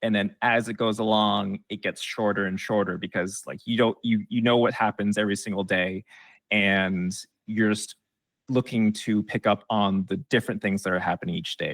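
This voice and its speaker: A man's voice: fairly low pitch, fairly bright tone, no room sound. A man giving conversational, explanatory advice as an expert guest in an interview. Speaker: guest expert on game trailers.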